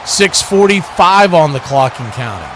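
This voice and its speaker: A man's voice: on the high side, big pitch swings, a little slow, noisy background. A man hosting a live stream, giving play-by-play commentary on American football. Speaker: play-by-play sports host.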